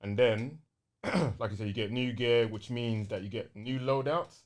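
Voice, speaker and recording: Enthusiastic young London man, medium pitch. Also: unhurried. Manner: enthusiastic, slangy. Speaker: gaming podcast host. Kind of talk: casual gaming podcast chat.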